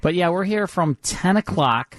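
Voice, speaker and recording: A man's voice: sits high, expressive, normal speed, fairly bright tone, no echo. A man hosting a radio show with casual banter and program previews, joking at his own expense in rambling asides. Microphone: close studio microphone.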